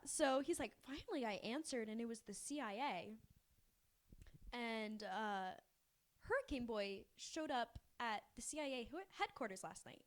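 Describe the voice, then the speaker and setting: A woman's voice: a little high, animated, unhurried. A young woman co-hosting a podcast, telling a story casually and acting out the dialogue as she goes. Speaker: podcast co-host.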